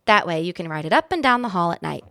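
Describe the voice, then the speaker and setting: A woman's voice: fairly low pitch, lively intonation, fast talker, gravelly, fairly bright tone, no room sound, studio quality. Woman reading as a friendly, cautious young boy. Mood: agreeable.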